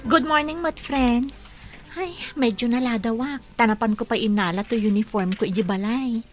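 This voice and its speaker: A woman's voice: fairly high pitch, moderate pace, natural voice. A woman playing a devout, cheerful young woman in a radio drama, speaking earnestly and sincerely with an Ilocano accent.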